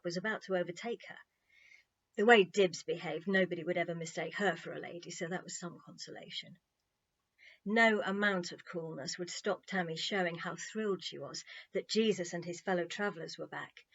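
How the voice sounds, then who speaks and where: A woman's voice: medium pitch, highs cut off. A woman narrating a children's story expressively, in a playful, wry, conversational way.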